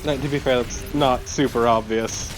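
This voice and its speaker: A man's voice: mid-range voice, fairly even intonation, moderate pace, music in the background, rough recording. A man chatting casually as co-commentator on a video game. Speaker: let's play co-commentator. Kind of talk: casual chat over gameplay.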